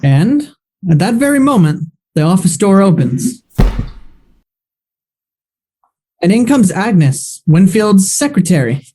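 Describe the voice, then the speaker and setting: A man plays a melodramatic detective-serial narrator. His tone is dark and mellow, and he delivers the story deliberately, in the dramatic manner of old-time radio. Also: high-pitched, sing-song, breathy edge, full character voice. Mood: brisk, dramatic.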